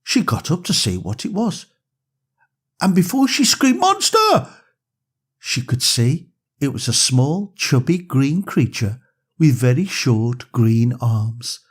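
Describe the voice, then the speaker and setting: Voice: medium pitch, big pitch swings, slow, husky. A storyteller narrating a scripted bedtime story for young children.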